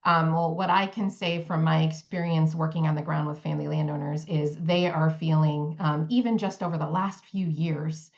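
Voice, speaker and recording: A woman answering conversationally as a panelist, heard over slightly dull video-call audio. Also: low-pitched. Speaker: panelist working with forest landowners.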